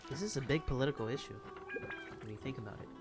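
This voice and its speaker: A man's voice: medium pitch, fast. A man giving short, casual playthrough commentary as a game streamer. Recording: loud game music behind voice. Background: game music.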